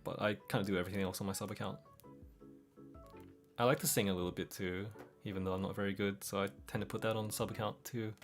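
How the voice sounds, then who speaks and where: A young man with a mixed Australian and American accent, chatting casually as a streamer reading viewer comments, relaxed and quick to laugh, over faint background music. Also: fairly low pitch, gravelly. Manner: relaxed, laughs often, trails off softly. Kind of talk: casual late-night chat reading comments.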